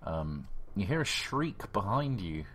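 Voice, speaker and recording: A man's voice: sits low, big pitch swings, a little slow, fairly bright tone. A man working as game master, narrating an improvised horror role-playing game in a descriptive, conversational way.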